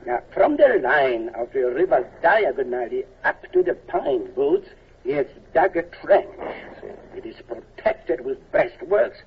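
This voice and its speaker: An actor playing a stiff, formal Prussian colonel with a husky voice, lecturing precisely in 1940s radio style. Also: male voice, medium pitch, big pitch swings, measured pace, slight background noise. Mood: measured. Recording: tinny lo-fi old radio recording.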